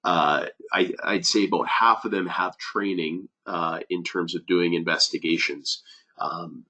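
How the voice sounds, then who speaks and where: A man's voice: sits low, steady tone, measured pace, pushed and bright. A man who is a safety advisor, giving a webinar presentation with many fillers and restarts, sounding nasal from a cold.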